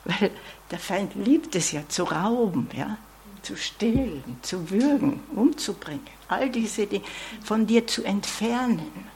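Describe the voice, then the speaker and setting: A woman's voice: medium pitch, lively intonation, takes it slowly, darkish tone, slight background noise. A woman preaching a sermon in an earnest tone, often adding "ja?" asides and dropping to a whisper.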